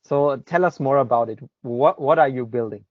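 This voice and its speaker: A man hosting a podcast who asks his questions in a relaxed, slightly hesitant way, with frequent pauses. His voice sits in a middle range. The sound is dry and somewhat dull. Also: medium pitch, dry recording. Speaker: podcast host. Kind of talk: interview questions.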